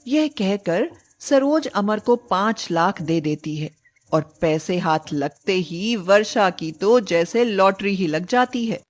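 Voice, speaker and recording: A woman's voice: mid-range voice, lively intonation, takes it slowly. A woman reading scripted narration for a moral story, as a storyteller.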